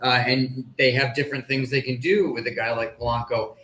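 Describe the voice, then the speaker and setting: A man speaking as a sports analyst on a live panel, explaining his points in a measured, qualifying way. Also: mid-range voice, little pitch movement, pushed and bright. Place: live convention panel stage. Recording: echoey live room.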